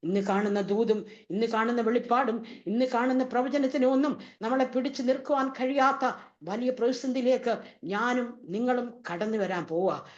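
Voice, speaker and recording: A woman's voice: fairly high pitch, moderate pace. Impassioned woman preaching a sermon. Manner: impassioned, repeats rhetorical questions. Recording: dull lo-fi recording.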